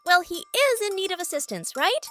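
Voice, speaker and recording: A woman's voice: very high voice, big pitch swings, a little fast, bright, forceful tone, soft background music, exaggerated voice. A woman voicing a prim, officious teenage girl dinosaur with an over-sweet manner in a visual novel game. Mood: sugary, eager. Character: prim, chirpy, exasperated teenage girl raptor office helper.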